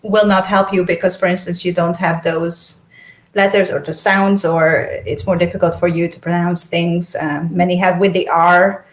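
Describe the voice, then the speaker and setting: A woman's voice: lowish voice, little pitch movement, moderate pace, echoey room. A young woman, a native speaker of Esperanto and Hungarian, chatting as an interview guest, laughing often and restarting her sentences. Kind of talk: casual conversation.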